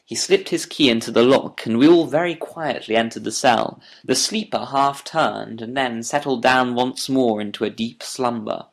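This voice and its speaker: A man narrating a scripted audiobook as a storyteller, deliberate and literary in delivery. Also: mid-range voice, vocal fry.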